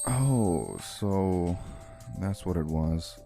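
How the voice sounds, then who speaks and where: Anxious, considerate young male romance lead played by a man. Expressive dub delivery, lively pitch, soft mellow tone, music underneath. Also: drawn-out words, creaky, heavily acted. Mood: reflective narration. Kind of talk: anime-style dub acting and first-person narration. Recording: music bed behind the voice.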